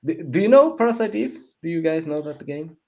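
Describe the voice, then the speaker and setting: A man's voice: fairly high pitch, animated, fast talker, vocal fry, mellow. A man in a casual panel chat about opinions, rambling and stammering as he often restarts his sentences. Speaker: panelist on a film discussion show. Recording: dull, muffled lo-fi audio.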